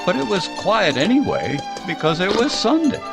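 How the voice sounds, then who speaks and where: A man's voice: high voice, huge pitch swings, normal speed, gravelly, loud music behind the voice, full character voice. A man acting as the narrator of a 1940s-style radio drama, recalling his boyhood in a conversational, hesitant way. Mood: reminiscent, wistful. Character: man fondly narrating a boyhood memory of a small-town tragedy.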